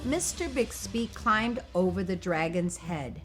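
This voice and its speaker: A woman reading a picture book aloud for children, in an expressive, animated and emphatic storytelling delivery. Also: medium pitch, unhurried. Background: music.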